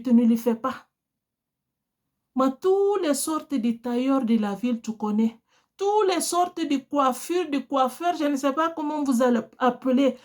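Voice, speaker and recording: Woman preacher, Portuguese native speaker, high voice, slow deliberate delivery, earnest exhortation. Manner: earnest, exhorting, direct address. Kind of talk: sermon.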